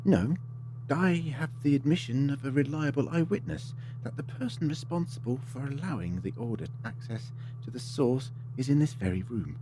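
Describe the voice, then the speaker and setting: A man's voice: medium pitch, lively intonation, moderate pace, natural voice. A man acting in an audio drama as a resolute, improvising time-travelling hero, by turns hesitant and decisive. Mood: confident. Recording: clear background noise.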